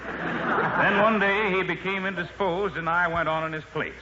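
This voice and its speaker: A man's voice: sits high, fairly even intonation, moderate pace, bright, forceful tone, muffled recording, slight background noise. A man giving a scripted comic monologue as a guest on a 1950s radio show, in an old broadcast recording.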